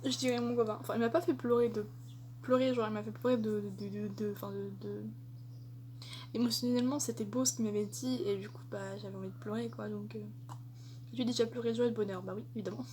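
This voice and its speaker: A woman's voice: medium pitch, steady tone, quick pace, a little mellow, a little hiss or hum. A young woman answering tag questions in a casual vlog, chatty and hesitant with many fillers. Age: young adult. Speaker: vlogger.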